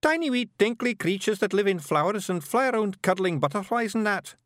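Small young male fairy, Scottish accent, high-pitched, cheeky, eager, lively storybook character. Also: fairly quick, dry recording, studio quality. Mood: explaining. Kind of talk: audiobook character voice.

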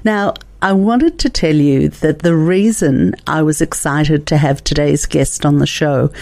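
A woman hosting a conversational interview, talking in an unhurried, complimentary way. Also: low-pitched, vocal fry, a little mellow, no room sound. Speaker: host.

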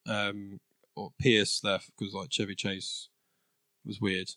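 Easygoing man with a low voice chatting. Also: little pitch movement, slow pace, bright, forceful tone, no room sound. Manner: easygoing, laughs often between remarks. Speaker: podcast co-host. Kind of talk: casual chat.

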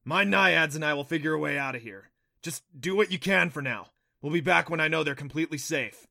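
A man's voice: a little high, brisk, vocal fry, pushed and bright, no room sound, studio quality, pitched above the performer's own voice, exaggerated voice. A man voicing a confident male kelpie in a fantasy audiobook, emphatic, urgent and encouraging. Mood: reassuring, firm. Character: brisk, confident male kelpie, encouraging water-horse guardian. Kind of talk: audiobook character voice for a fantasy story.

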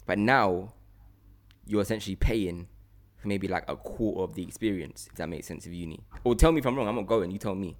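A young man talks in casual podcast style. He is opinionated and animated, often repeating phrases to drive a point home. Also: low-pitched, brisk. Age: young adult. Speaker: podcast host.

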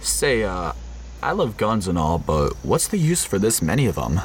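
Undercover federal agent played by a man, radio drama. Low voice, solemn and measured, faint noise behind. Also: sing-song. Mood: awkward, probing. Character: undercover male FBI agent narrating a compound infiltration, uneasy. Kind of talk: scripted radio play narration.

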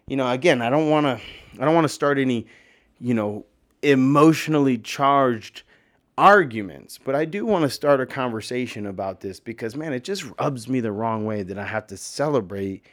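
A man with a slightly hoarse voice, talking in a casual, rambling opinion monologue full of fillers, with emphatic bursts and swearing. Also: mid-range voice.

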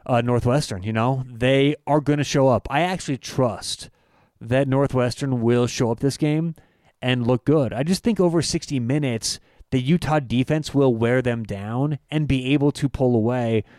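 A man co-hosting a sports betting podcast, talking his picks through with frequent pauses and restarts. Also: mid-range voice, a little mellow, dry recording, studio quality. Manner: halting, many "uh" restarts. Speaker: podcast co-host. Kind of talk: casual sports betting chat.

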